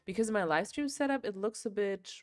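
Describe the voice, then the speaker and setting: A young woman talks loosely through a crafting livestream at an ordinary pitch. She rambles and scatters "like" and "um" through her sentences, laughing often between thoughts. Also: lively intonation, fairly quick, darkish tone. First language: German. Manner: chatty, rambling, filler-heavy, laughs often. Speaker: livestream host. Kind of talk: casual chat while crocheting.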